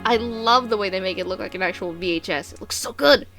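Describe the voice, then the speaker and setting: Bright-voiced woman reacting casually to videos. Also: mid-range voice, fast talker, gravelly, faint music behind. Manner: animated, exclamatory, slangy.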